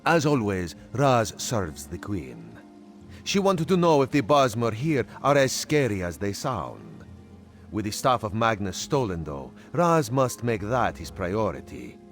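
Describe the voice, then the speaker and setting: An actor playing a sly, genial cat-folk agent in a video game, speaking in a measured, theatrical way. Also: male voice, lowish voice, wildly swooping pitch, faint music behind, exaggerated voice. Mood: earnest, measured. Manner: speaks of himself in third person, measured and theatrical. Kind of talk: video game character dialogue.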